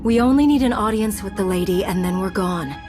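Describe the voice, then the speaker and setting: An actress playing a calm, confident female knight hero in a video game, cool and wry. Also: mid-range voice, brisk, over music, lightly acted. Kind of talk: dramatic video game acting.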